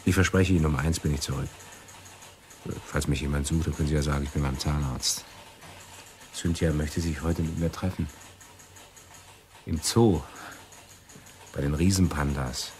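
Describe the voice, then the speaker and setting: An actor playing a flippant, lovestruck bachelor intelligence clerk in a radio play, casual and confiding. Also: male voice, very deep voice, moderate pace, pauses often, darkish tone. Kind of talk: German radio play acting.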